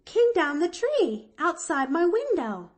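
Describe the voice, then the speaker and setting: A woman's voice: very high voice, big pitch swings, takes it slowly, pitched above the performer's own voice, full character voice. A woman voicing a cheerful, innocent little girl in a storybook, with an eager, earnest, gushing manner. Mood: gleeful.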